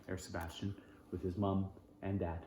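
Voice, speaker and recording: A man's voice: sits low, level tone, moderate pace, mellow, roomy sound, a little hiss or hum. A man reading a children's storybook aloud, expressively, in short phrases with informal asides. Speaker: storybook reader.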